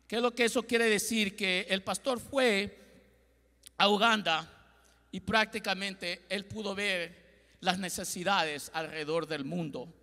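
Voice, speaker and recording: Middle-aged man from Ecuador, pastor preaching, bright ringing voice, handheld mic, big echoing church hall. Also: sits high, fairly even intonation, a little slow. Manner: preaching with call-and-response, gesturing and pacing the stage. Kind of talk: Spanish-language church sermon. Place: large church stage with lights. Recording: long hall reverb.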